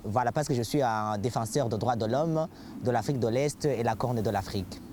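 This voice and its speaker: A man's voice: mid-range voice, mellow, slight background noise. Hesitant man from Burundi, interviewed. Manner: halting, many hesitations. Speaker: community worker for asylum seekers. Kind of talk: interview answers.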